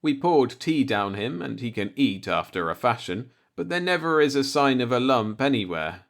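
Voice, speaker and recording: A man's voice: medium pitch, very animated, normal speed, creaky, a bit bright. A man reading a light-hearted short story aloud as an audiobook narrator, in a scripted storytelling style.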